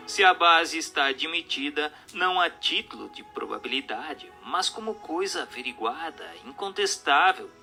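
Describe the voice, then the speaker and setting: A man delivers dramatic scripted narration in a fairly high, very sharp and forceful voice. His phrasing is formal and rhetorical, with lively rises and falls in pitch, like a declaimed argument. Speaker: narrator of an audiobook radio drama.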